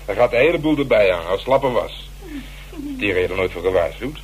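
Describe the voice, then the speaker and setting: A low-pitched, gruff police detective played by a male actor, blunt and full of mocking slang. It is radio drama acting on a slightly noisy, humming tape. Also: animated, fairly quick, close to an everyday voice. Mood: bossy, teasing.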